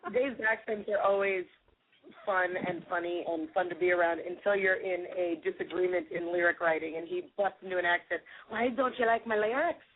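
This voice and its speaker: A woman's voice: lowish voice, normal speed. A woman singer being interviewed on the radio, talking casually and laughing often. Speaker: guest singer. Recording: phone-line radio call.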